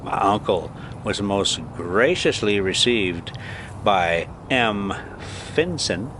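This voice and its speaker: Deep-voiced older man reading slowly and expressively. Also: animated, noisy background. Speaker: storyteller reading a book aloud. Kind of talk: expressive storybook reading with casual asides.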